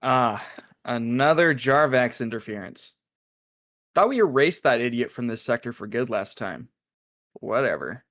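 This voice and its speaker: Jaded male agent for a sci-fi cartoon, acted by a man; sardonic, with very mobile pitch. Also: expressive, measured pace, fairly bright tone. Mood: bored, sardonic. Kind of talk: animated pilot table read.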